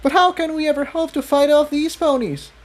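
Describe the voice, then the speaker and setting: A man voicing a frightened young female winged pony in an adventure story, calling out urgently. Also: fairly quick. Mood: anxious. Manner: high-pitched urgent calling.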